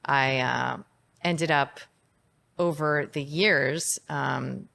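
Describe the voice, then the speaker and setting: Woman, middle-aged, psychiatrist guest, deep voice, candid, reflective. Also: drawn-out words, vocal fry, fairly bright tone. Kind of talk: interview conversation.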